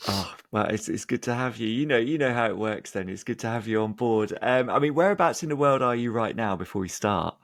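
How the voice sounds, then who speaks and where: A man hosting a podcast, reading a polished introduction and then asking relaxed questions. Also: mid-range voice, brisk, hardly any pauses, no echo, studio quality. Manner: warm scripted intro then relaxed prompting. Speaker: podcast host. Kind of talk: interview hosting.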